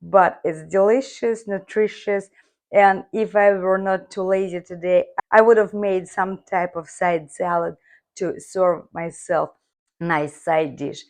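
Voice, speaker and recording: Hoarse woman host, lowish voice, unhurried, chatty walk-through of a recipe in her home kitchen. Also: fairly even intonation, darkish tone. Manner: chatty, talks viewers through each step. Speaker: cooking channel host. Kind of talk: casual cooking demonstration.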